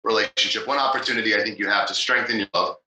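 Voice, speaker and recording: Male podcast host, mid-pitched, upbeat and supportive; sharp, forward tone; reverberant room. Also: racing, a little hiss or hum. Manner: enthusiastic, many fillers and restarts, encouraging. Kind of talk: casual interview talk.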